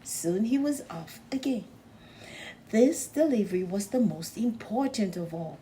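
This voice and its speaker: A woman narrating a children's storybook aloud in a gentle, deliberate, expressive storytelling manner. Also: medium pitch, a little hiss or hum. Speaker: storybook reader.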